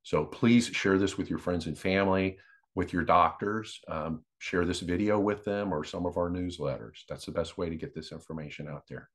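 A man co-hosting an advocacy podcast, explaining things unscripted in a measured, matter-of-fact way. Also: sits low.

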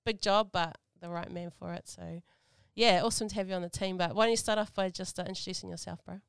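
A New Zealand woman hosts a relaxed chat, her slightly low voice gravelly yet very clear. The sound is dry and close. She talks casually, with fillers and Māori phrases. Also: fast, dry recording. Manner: relaxed, friendly, lots of fillers and te reo Māori greetings. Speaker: host.

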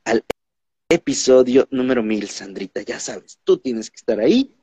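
A man with a Mexican accent hosting a podcast interview in a casual, animated way, often repeating his words. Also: mid-range voice, moderate pace, breathy edge, no echo. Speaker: podcast host. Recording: dull lo-fi sound.

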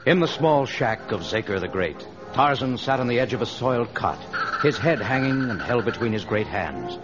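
A man's voice: mid-range voice, expressive, moderate pace. A man narrating an old radio adventure serial in a grave, theatrical scripted style. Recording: dull old radio recording. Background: music.

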